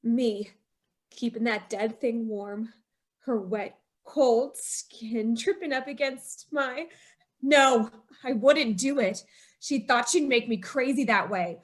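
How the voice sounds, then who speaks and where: High, tense woman narrating a radio horror. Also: slow pace. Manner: tense, haunted, rising to frantic. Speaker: narrator and lead character. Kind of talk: old-time radio drama narration.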